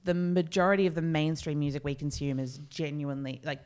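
Low-voiced woman guest in casual talk. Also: flat delivery, a little fast, a little mellow, clean tone. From Australia. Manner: articulate, argues points with laughter. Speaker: podcast guest. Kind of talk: casual conversation.

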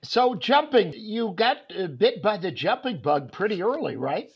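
A man's voice: high voice, very animated, a little slow, slightly rough. A man hosting a sports interview, talking in a rambling way with many fillers and restarts. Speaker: host.

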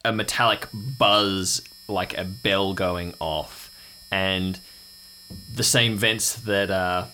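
Male game master, lowish pitch, improvised descriptive narration with thoughtful pauses; slight noise on the recording. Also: steady tone, sharp tone, low-quality recording. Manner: descriptive, pausing to build detail.

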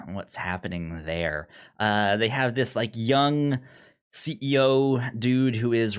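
A man co-hosting a podcast and casually discussing a film in a hesitant, halting way, often repeating himself. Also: medium pitch, a bit bright, smooth. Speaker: podcast co-host.

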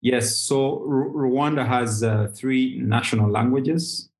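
A man's voice: medium pitch, slow pace. Man explaining in a hesitant way. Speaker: guest. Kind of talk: interview conversation.

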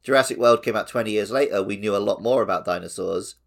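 A man chatting casually as a podcast co-host, joking and getting animated in an informal way. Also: lowish voice, fast, creaky. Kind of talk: casual chat.

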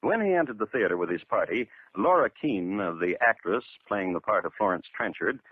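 A man narrating a scripted 1950s true crime radio drama in a dry, deadpan, measured manner. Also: lowish voice, husky, no echo. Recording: noisy, narrow-band 1950s radio recording.

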